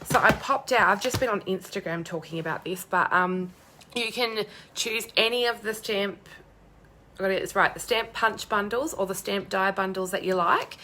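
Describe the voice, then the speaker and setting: A young woman with a hoarse, croaky voice chatting bubbly on a live stream, greeting viewers by name. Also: medium pitch, moderate pace, bright, forceful tone. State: hoarse, losing her voice. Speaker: crafting demonstrator hosting a live stream.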